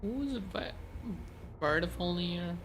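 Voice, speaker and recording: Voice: mid-range voice, expressive, slow. A game streamer giving casual, offhand reactions to a story, musing aloud a little hesitantly. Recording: loud game music behind voice.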